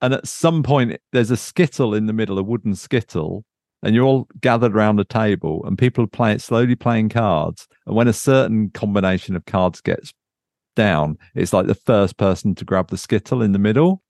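A man's voice: lowish voice, moderate pace, a little mellow, no room sound, clean studio sound. A man co-hosting a podcast in casual, unscripted chat. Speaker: podcast co-host.

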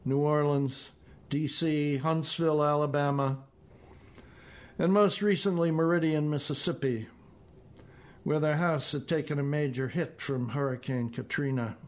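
Slow, measured man reading a story. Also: fairly high pitch, fairly even intonation. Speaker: author reading own story. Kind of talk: literary short story reading, scripted narration.

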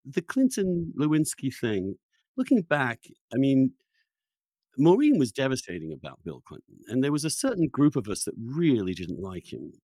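An English man past his youth interviews in a loose, conversational way. He stumbles back over his words, restarts sentences and drifts into asides, at a middling pitch, recorded close and dry. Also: very animated, mellow, dry recording. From England. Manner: halting, repeats words and restarts often, digressive. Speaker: podcast host and interviewer.

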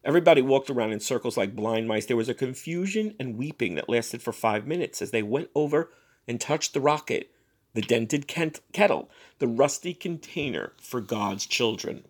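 Male story reader, mid-pitched and expressive. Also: moderate pace. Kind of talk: dramatic literary narration.

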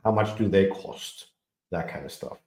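A man hosting a podcast, talking through a technical topic in a casual, halting way, full of fillers and repeated words. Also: low-pitched, animated, a little mellow. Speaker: podcast host. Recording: echoey room.

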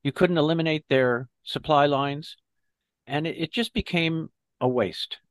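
An older man, an army veteran and interview guest, telling his story in a measured, reflective way. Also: on the high side, dry recording. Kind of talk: interview recollection.

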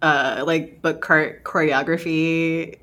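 A woman's voice: low-pitched, steady tone, slow, fairly bright tone. A woman co-hosting a librarians' podcast, chatting casually with a giggly, chatty manner. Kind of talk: casual group chat.

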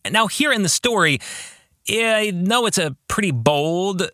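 Slow, bright-voiced man with animated pitch. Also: no echo, clean studio sound. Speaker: podcast host and novelist.

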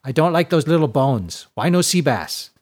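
A man's voice: fairly high pitch, big pitch swings, normal speed, a little mellow, pitched above the performer's own voice. Man putting on a brusque, fussy old woman. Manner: brusque, demanding.

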